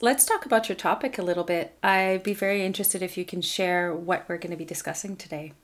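Courteous lower-voiced woman interviewer. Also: quick pace. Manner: courteous, appreciative. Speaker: interviewer, host. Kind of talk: conversational interview hosting.

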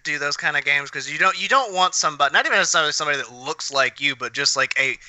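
A man's voice: a little high, rapid-fire, pushed and bright, no room sound. A man co-hosting a podcast in casual chat while sick with a cough. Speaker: podcast co-host.

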